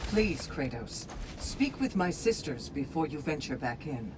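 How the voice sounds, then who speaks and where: A woman playing a proud valkyrie leader in a video game, measured, authoritative and dry. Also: low-pitched, very animated, noisy background. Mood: commanding, composed. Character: proud, commanding valkyrie leader, dryly sharp-tongued. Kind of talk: video game cinematic acting.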